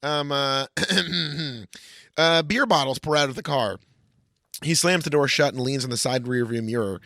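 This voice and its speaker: A man's voice: medium pitch, measured pace, gravelly, bright, forceful tone, no echo, studio quality. A man co-hosting a podcast, bantering casually and reading aloud with a sardonic edge. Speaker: podcast co-host.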